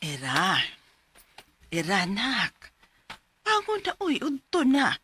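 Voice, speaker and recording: A woman playing a doting, anxious mother in a melodramatic radio drama, drawn-out and motherly in delivery. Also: fairly high pitch, huge pitch swings, sharp tone, slightly rough, exaggerated voice. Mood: tender coaxing.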